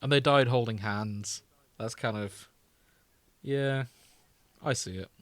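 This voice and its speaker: A man with a mid-pitched voice hosts a casual chat show in a teasing, wry manner. He talks conversationally in a dry, close-sounding recording. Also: takes it slowly, dry recording. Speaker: podcast host. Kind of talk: casual banter about fan fiction, reading excerpts aloud.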